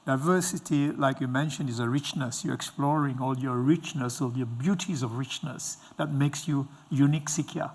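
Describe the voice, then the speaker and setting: A man's voice: mid-range voice, moderate pace, few pauses, husky. A middle-aged man hosting a conversational interview in an encouraging, reflective way. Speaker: interviewer, host. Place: indoor stage with dark curtains.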